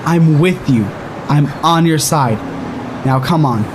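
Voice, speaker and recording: A man's voice: a little high, takes it slowly, noisy background. An actor playing an anxious, protective male friend in a horror audio drama, speaking in an urgent, coaxing, emotional way. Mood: pleading. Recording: music behind the voice.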